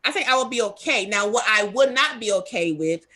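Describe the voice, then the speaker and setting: A woman's voice: mid-range voice, animated, brisk, sharp tone. A woman hosting a radio talk show, chatting candidly and rambling with fillers. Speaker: host. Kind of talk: casual talk show chat.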